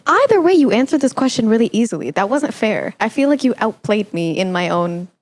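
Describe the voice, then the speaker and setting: A woman's voice: fairly high pitch, big pitch swings, a little fast. A woman hosting a podcast in casual, playful chat, teasing and mock-indignant in manner. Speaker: podcast host.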